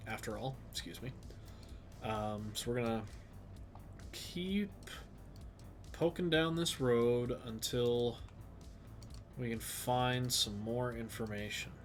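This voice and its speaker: A man with a blocked, sniffly nose talks through a geography game on a live stream, unhurried and full of "um"s as he thinks aloud. His pitch sits in an ordinary middle range, and faint music and keyboard typing run quietly underneath. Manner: slow, musing, thinks aloud with many fillers. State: stuffed up, sniffly. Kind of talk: casual live-stream commentary.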